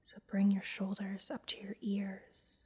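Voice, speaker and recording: A woman softly whispering an ASMR guided meditation, giving gentle, soothing instructions with pauses. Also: medium pitch, completely flat delivery. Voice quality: soft, breathy whisper. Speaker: massage therapist and meditation guide, host.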